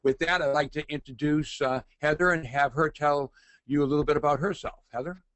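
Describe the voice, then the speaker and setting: A man in his sixties with a Boston accent, presenting a webinar informally and haltingly, with frequent hesitations and repeats. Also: on the high side, fairly even intonation, fairly bright tone, no room sound. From Boston, United States. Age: 60s. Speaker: webinar host, retired agent and forensic lecturer.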